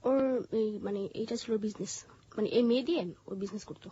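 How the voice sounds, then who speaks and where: A Bangladeshi woman telling her personal story in an interview, haltingly, often repeating and rephrasing her words. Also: mid-range voice, mellow, breathy edge, dull audio.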